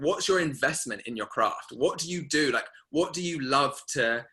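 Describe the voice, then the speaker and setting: A young man hosting a class, telling a rambling story full of fillers and lively quoted asides. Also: mid-range voice. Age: young adult. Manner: rambling, filler-heavy, animated retelling. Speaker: masterclass host. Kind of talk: casual storytelling to an online class.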